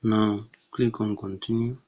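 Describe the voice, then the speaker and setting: Man, tutorial narration, low flat voice, short plain commands, instructional. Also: a little slow, highs cut off. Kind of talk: step-by-step app tutorial narration.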